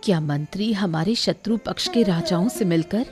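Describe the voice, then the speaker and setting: A woman playing a concerned, shrewd and calm queen in a cartoon story. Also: mid-range voice, moderate pace, over music, close to an everyday voice. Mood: suspicious. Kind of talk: Hindi cartoon story dialogue.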